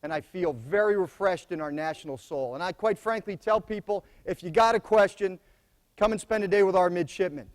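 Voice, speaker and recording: A soft-spoken middle-aged American man giving opening remarks at a conference, plainspoken and repeating key phrases for emphasis. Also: sits high, a little fast. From United States. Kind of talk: conference speech to students.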